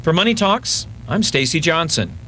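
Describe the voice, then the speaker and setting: American guy tv consumer reporter, highish voice, really animated, slight background noise. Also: moderate pace, rough recording. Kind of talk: scripted TV news report narration.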